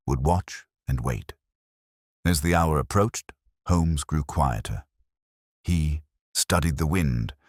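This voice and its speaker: A man's voice: extremely low pitch, dry recording, studio quality. A man narrating a detective story as a scripted, dramatic audiobook.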